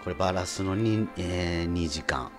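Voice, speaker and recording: A man's voice: low voice, moderate pace. A man hosting a casual radio chat. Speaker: radio host, stage actor. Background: background music.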